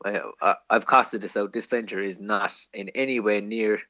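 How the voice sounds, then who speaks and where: A man with a fairly low voice, slightly muffled on the recording. He banters on a comedy podcast in a halting, mock-serious way, like an accountant going through the books. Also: steady tone, sharp tone. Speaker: podcast co-host. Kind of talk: casual comic banter.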